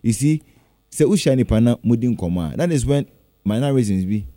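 A man's voice: mid-range voice, animated, moderate pace, warm tone, no room sound, studio quality. A man with a Ghanaian accent chatting casually as a panellist, mixing languages as he goes. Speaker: talk show panellist. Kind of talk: casual chat mixing English and Twi.

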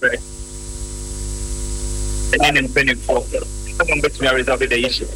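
A man, an elections committee member, giving halting answers in an interview. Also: medium pitch, flat delivery, pushed and bright, a little hiss or hum, poor audio. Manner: halting, searching for words.

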